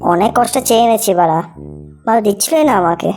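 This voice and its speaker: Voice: mid-range voice, quick pace, darkish tone, faint music behind, lightly acted. An actor voicing a put-upon, foul-mouthed male friend in a comedy cartoon, complaining and scolding. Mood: indignant. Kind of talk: Bengali comedy cartoon voice acting.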